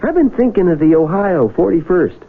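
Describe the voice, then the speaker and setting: Folksy American farm youth, high voice, excitable and rustic; thin old-radio sound with hiss. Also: male voice, brisk, narrow, tinny audio. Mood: earnest. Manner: rustic, folksy, exclamatory talk. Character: excitable folksy country youth, fishing with his friend. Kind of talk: 1970s radio drama acting.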